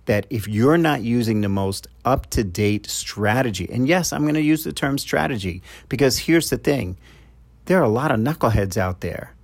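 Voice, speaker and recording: A man's voice: medium pitch, expressive, moderate pace. A middle-aged man from New York with a New York accent, a love coach talking to his podcast listeners in a loose advice monologue, full of "uh" fillers and restarts. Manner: conversational, frequent "uh" fillers and restarts.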